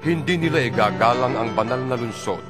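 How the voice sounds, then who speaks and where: Man as a mid-pitched, authoritative divine voice. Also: loud music behind the voice, lightly acted. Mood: solemn, authoritative. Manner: measured, authoritative. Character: solemn divine voice commanding a prophet. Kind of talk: Bible audio drama acting.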